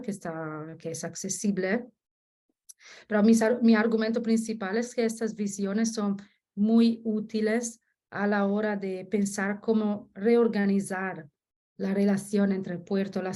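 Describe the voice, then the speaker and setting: A woman's voice: mid-range voice, dark tone. A woman from Italy with an Italian accent, a native Italian speaker giving an academic presentation as a researcher, often searching for words and correcting herself. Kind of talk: academic presentation in Spanish as a second language.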